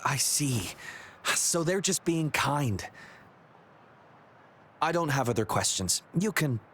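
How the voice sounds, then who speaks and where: An actor playing an eager, suspicious young rookie patrol officer in a video game, questioning and excitable. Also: male voice, a little high, slow pace, a little hiss or hum, heavily acted. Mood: satisfied, friendly.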